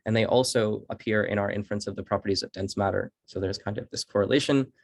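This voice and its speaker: A man speaking as a researcher at an online academic seminar, explaining in halting phrases with repeated words. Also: on the low side, fairly even intonation, vocal fry, no echo. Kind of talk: academic presentation and answering questions.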